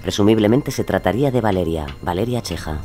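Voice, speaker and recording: An actor serving as a knowing, precise investigative narrator in a scripted audio drama, explanatory and matter-of-fact. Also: male voice, on the low side, expressive, fast talker, natural voice. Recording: music bed behind the voice.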